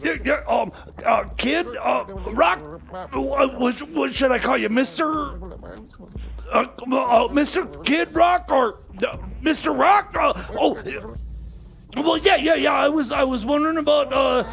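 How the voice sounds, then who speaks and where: A man's voice: very high voice, measured pace, vocal fry, a bit bright, full character voice. A man playing a flustered, overconfident crackpot inventor who stammers, rambles and repeats himself in comedy radio theater. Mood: nervous, fawning.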